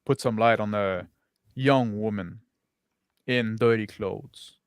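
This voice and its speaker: A man improvising narration as game master of a tabletop roleplaying game, in a halting, repetitive way. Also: on the low side, clear, resonant tone, no echo, clean studio sound.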